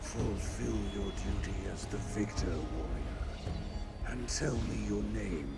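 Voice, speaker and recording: A man's voice: fairly low pitch, takes it slowly. An actor playing a cruel, haughty older warrior king in a fantasy game, declaiming with grand pomposity. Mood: disdainful. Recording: cavernous long reverb, music behind. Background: music.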